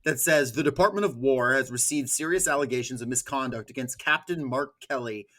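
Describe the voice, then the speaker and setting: Male podcast co-host, sardonic and sweary, casual news commentary in an average-pitched voice. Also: a little fast, sharp tone. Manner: sardonic, profane.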